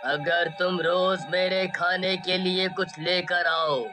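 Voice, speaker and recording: A woman voicing a hungry, menacing male ghost in a cartoon story, speaking slowly and with command over music. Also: lowish voice, fairly even intonation, pitched below the performer's own voice. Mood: bargaining. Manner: slow, threatening, commanding. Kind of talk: cartoon horror-comedy story acting.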